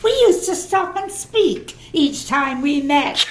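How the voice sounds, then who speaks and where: A woman's voice: very high voice, a bit bright, full character voice. An actress in a community radio play, playing a brazen, callous charwoman with a colloquial, gossiping way of talking. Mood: gossipy glee. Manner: colloquial, indignant, swooping.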